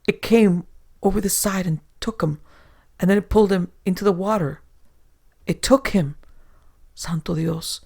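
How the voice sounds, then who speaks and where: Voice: medium pitch, moderate pace, vocal fry, long pauses, darkish tone. A narrator voicing a terrified, big swarthy sailor who stammers and whispers in broken English.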